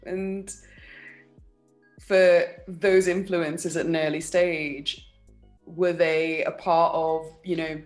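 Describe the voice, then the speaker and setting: A woman's voice: low-pitched, low-quality recording. Young woman asking long questions on a call. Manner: warm, long winding questions. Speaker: interviewer. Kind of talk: interview questions. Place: home room. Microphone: video call webcam.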